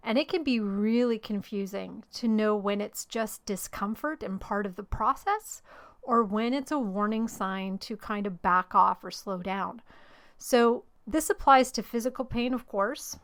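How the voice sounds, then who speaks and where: A middle-aged woman hosting her own podcast, slightly hoarse from losing her voice, talking openly and personally about her own story. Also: mid-range voice. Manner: open, personal storytelling with light laughs. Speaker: podcast host and life coach. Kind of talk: solo podcast monologue.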